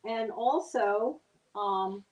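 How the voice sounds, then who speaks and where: A woman's voice: mid-range voice, very slow, echoey room, lo-fi sound, a little hiss or hum. An older woman from New Jersey, a guest cook explaining recipe steps plainly in a homey, chatty way. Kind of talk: cooking demonstration chat.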